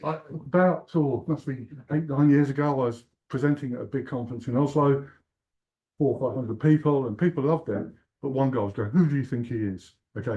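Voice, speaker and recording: A man's voice: mid-range voice, warm tone, low-quality recording. An Englishman in his sixties giving a humorous, self-deprecating keynote talk at a conference. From England. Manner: joking, self-deprecating, chatty. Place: conference hall.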